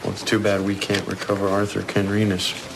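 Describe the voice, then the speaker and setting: Male, detective sidekick in a crime audio drama: casual, questioning, fairly low voice, noisy background. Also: completely flat delivery, fairly quick, gravelly. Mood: wry. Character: male police detective partner, inquisitive, plain-spoken.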